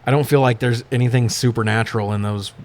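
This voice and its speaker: A man's voice: mid-range voice, steady tone, fast. A man co-hosting a casual film recap podcast, irreverent and sweary, often laughing as he talks. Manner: profane, irreverent, often laughing through sentences. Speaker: podcast co-host recapping films.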